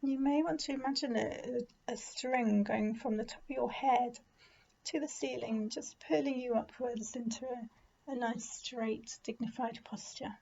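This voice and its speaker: A woman leading a guided meditation in a gentle, soothing, unhurried manner with pauses. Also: a little high, steady tone, creaky, highs cut off, slight background noise. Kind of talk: guided body scan meditation.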